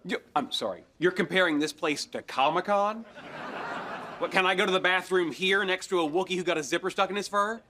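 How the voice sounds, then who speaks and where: Fussy, high-voiced sitcom nitpicker. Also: male voice, fairly quick, slight background noise. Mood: indignant. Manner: fussy, precise, literal-minded. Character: pedantic, easily offended male comic-book fan. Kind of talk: sitcom acting before a studio audience. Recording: loud studio audience laughter behind dialogue.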